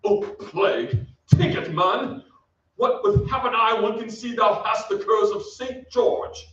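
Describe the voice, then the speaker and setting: An actor playing a fussy old aristocratic ghost uncle with theatrical, archaic speech in a staged old-time radio drama. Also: male voice, sits high, steady tone, takes it slowly, fairly bright tone. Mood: grandly fussing. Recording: roomy, distant, dull, faintly noisy stage recording.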